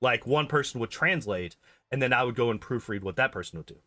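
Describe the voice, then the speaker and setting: A man's voice: medium pitch, animated, fast talker, bright, forceful tone, no echo. A man chatting casually as a streamer, rambling and repeating phrases emphatically to make his points. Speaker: game streamer and video host. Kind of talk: casual rambling livestream chat.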